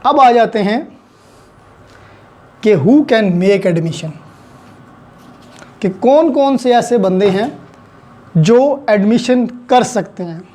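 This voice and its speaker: A man with a Pakistani accent teaches in a notably high voice with a mellow, rounded tone. He explains methodically, laying out each point and repeating it with examples, switching into English for technical terms. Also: expressive, extremely slow, long pauses. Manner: didactic, spells out points with examples and repetition. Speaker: law teacher. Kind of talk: explanatory lecture mixing Urdu with English legal terms.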